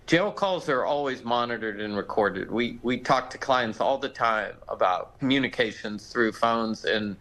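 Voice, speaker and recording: An American man speaks as a legal expert in a live news interview. His mid-pitched voice is conversational and explanatory, like a lawyer laying out an argument. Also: a little slow, few pauses, fairly bright tone, rough recording.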